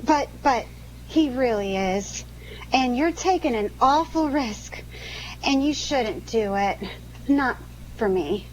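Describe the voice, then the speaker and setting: A woman's voice: fairly high pitch, animated, slow, a little mellow, dull audio, a little hiss or hum. A woman playing an anxious, pleading young accomplice in trouble in a 1940s-style radio drama, with an earnest manner. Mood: worried, pleading.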